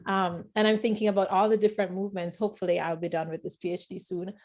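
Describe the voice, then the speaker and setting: A woman hosting an interview, thoughtful and hesitant, often pausing with fillers as she shapes her ideas. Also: medium pitch, little pitch movement. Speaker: host. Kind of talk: interview conversation.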